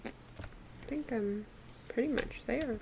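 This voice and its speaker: A woman's voice: fairly high pitch, slow pace, creaky, noisy background. A woman whose first language is Afrikaans, chatting casually and laughing often while she draws on a live stream. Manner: chatty, giggly, lots of fillers like "like". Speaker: artist streaming a drawing session. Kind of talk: casual chat while drawing.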